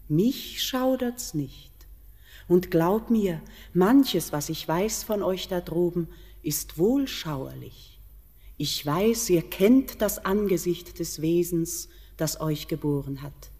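Otherworldly mountain queen. Low, slow, solemn and yearning, in a cavernous reverb. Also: warm tone, husky. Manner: solemn, measured, otherworldly declamation. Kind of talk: classic German radio verse drama, declaimed.

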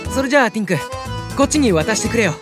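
A man voicing a cheerful, relaxed younger brother who talks casually and calms his older brother, in a drama CD. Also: high-pitched, very fast, over music. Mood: easygoing. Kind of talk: anime drama CD acting.

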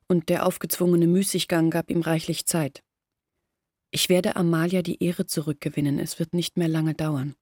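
A woman's voice: lowish voice, dry recording, clean studio sound, lightly acted. An actress playing a young village woman, weary and bitter, earnestly recounting her family's disgrace in a radio play. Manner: quiet, earnest storytelling.

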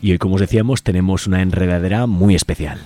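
A man's voice: deep voice, very fast. A man with an accent from Spain hosting a live community radio show in an informal, chatty way. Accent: Spanish from Spain. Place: radio studio. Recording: music playing under the voice. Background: music.

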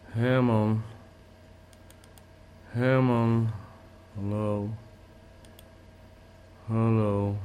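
Man playing a very slow, weary, befuddled caller. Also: mid-range voice, warm tone. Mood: weary, searching. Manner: halting, repetitive, stammering. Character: weary, befuddled man lost on a remote call. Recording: background noise.

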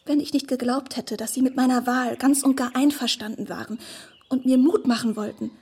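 A woman playing a naive, smitten young woman in a radio drama, earnest and effusive with a breathy delivery. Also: high-pitched, steady tone, fairly quick, darkish tone. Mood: wounded, indignant. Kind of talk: German radio drama acting.

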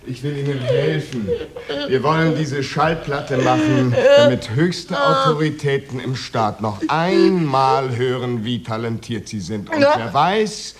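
An actor playing an impatient, sardonic Soviet official who commands and cajoles, in a German radio drama. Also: male voice, medium pitch, big pitch swings, a little slow, crowd noise. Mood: coaxing. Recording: loud music behind the voice.

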